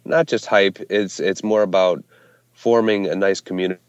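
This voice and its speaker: A man's voice: sits low, steady tone, moderate pace, clean tone, no room sound, dull audio. A man, co-founder of a small brewery, answering interview questions casually with many fillers and restarts. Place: Ohio hometown brewery.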